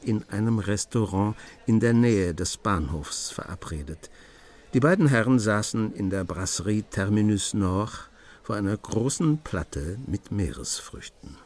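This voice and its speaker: Radio play narrator: composed, sober, low register, telling a detective story. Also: male voice, a little mellow.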